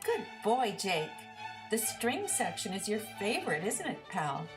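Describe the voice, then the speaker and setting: Woman as lively, caring storybook stagehand guy. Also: medium pitch, measured pace, over music. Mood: affectionate, easygoing. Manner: exclaiming, affectionate. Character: caring, excitable male stagehand who dotes on dog. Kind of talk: children's storybook character voice.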